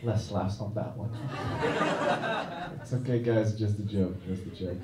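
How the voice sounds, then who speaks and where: A man's voice: lowish voice, steady tone, a little slow, heavy background noise. Guy doing stand-up in a club, dry self-mocking style, lots of uh's, sounds far from the mic in a roomy space. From China. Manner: dry, self-deprecating delivery with frequent "uh" restarts. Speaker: stand-up comedian. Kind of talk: stand-up comedy set. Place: comedy club stage.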